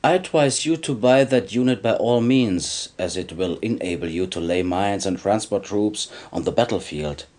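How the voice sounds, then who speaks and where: A man playing a sly, low-voiced arms dealer. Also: lively intonation, normal speed, hardly any pauses, darkish tone, natural voice. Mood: smug, pitching. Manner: smug, chuckling salesman patter. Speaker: game character actor. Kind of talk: video game cutscene acting.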